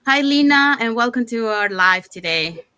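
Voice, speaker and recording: A woman from Panama hosting an online interview show in an informal, somewhat halting way. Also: a little high, animated, pushed and bright, smooth, no echo. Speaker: talk show host.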